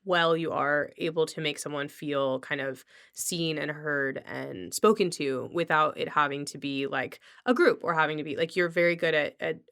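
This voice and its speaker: A woman's voice: low voice, normal speed, fairly bright tone, smooth. A woman hosting a podcast, chatting casually with a guest. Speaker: podcast host. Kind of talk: casual conversational chat.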